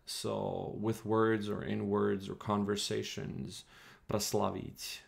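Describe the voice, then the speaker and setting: A man's voice: lowish voice, flat delivery, slow pace, gravelly. A man whose first language is Croatian, casually reading and translating an old text on a live stream, thinking aloud with many fillers.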